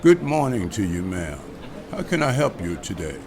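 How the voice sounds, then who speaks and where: A man reading the part of a cautious, businesslike male bank official at a script table read, in a measured, guarded manner. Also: on the low side, big pitch swings, background noise.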